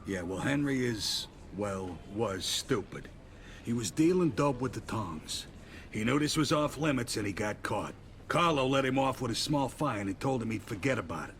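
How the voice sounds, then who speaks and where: Brief: a grim mob hand, faint hiss behind. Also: male voice, medium pitch, animated, normal speed, a bit bright. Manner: gruff, crude. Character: hard-bitten mob associate, grim. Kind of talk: video game cutscene acting.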